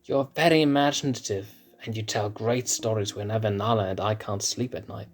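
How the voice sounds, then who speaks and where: A man voicing a brash, defensive young male lion cub prince with a blunt, gruff way of speaking. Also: on the low side, fairly even intonation, brisk, close to an everyday voice.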